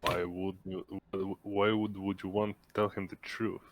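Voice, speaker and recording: A man with a low voice speaks very slowly as a player at a tabletop game. He is casual and hesitant, feeling his way through what he wants to do. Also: level tone, slight background noise.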